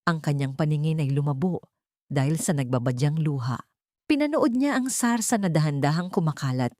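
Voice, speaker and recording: Filipino female audiobook narrator, low register, dry close sound, dramatic scripted storytelling. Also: expressive, fairly quick, dark tone, no echo.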